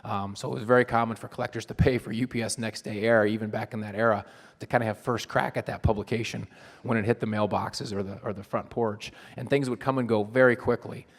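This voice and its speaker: A hoarse man giving a chatty panel talk to a live audience, with frequent ums and restarts. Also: fairly low pitch, fairly even intonation, fast. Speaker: convention panel presenter. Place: convention hall panel room. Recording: long hall reverb.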